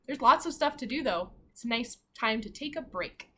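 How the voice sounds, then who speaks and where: A woman with a fairly high, very animated voice reads like a primary school teacher at story time. Her pitch swings freely as she moves between expressive reading and chatty asides, speaking straight to young listeners. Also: normal speed. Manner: expressive, asks the children questions directly. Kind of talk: animated read-aloud of a children's picture book with asides.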